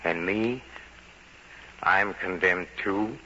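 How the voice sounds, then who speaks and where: An actor playing a vengeful, gloating murderer in an old-time radio horror monologue, with halting, repetitive, theatrical delivery over music on a dull vintage recording. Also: male voice, low voice, pushed and bright, a little hiss or hum, full character voice. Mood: mournful, menacing. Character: vengeful, tormented male murderer, gloating and obsessed.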